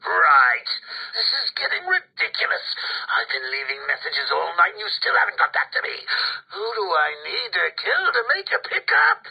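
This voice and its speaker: An actor playing a cackling, unhinged male clown villain who taunts his nemesis in a theatrical, mocking way, swinging between whispers and shouts. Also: fairly high pitch, huge pitch swings, moderate pace, full character voice, poor audio. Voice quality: gravelly, with manic laughter. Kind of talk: video game voice acting.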